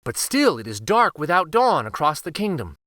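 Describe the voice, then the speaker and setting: Man as an earnest, bright-voiced young heroine. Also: a little high, big pitch swings, moderate pace, no room sound, clean studio sound. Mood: earnest appeal. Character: earnest, brave young woman heroine of a fairytale.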